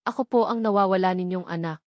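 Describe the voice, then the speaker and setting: A woman's voice: lowish voice, fast, dry recording, clean studio sound, lightly acted. A woman voicing a wronged, emotional daughter posing as a housemaid in a story. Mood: pained. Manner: firm, controlled, emotion-laden.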